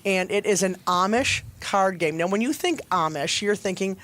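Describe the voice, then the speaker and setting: A woman chatting casually on a talk show, telling stories in a jokey, animated way and acting out bits of conversation. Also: medium pitch, normal speed, slight background noise. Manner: chatty, jokey storytelling with acted-out quotes. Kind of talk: animated casual chat and storytelling.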